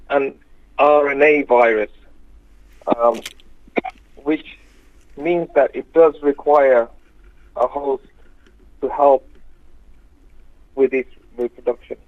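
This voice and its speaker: A male GP from Birmingham, speaking as a radio guest, explaining in a measured, halting way. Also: medium pitch, steady tone, dry recording. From Birmingham, England. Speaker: GP, medical guest. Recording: tinny phone line.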